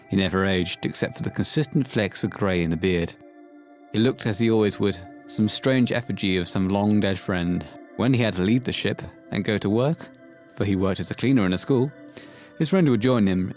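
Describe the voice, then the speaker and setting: A man's voice: lowish voice, darkish tone. A man reading scripted narration as the storyteller of an audio horror play.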